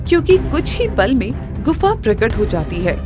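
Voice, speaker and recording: A woman narrating a cartoon moral story in an expressive storytelling manner. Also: on the high side, moderate pace, over loud music.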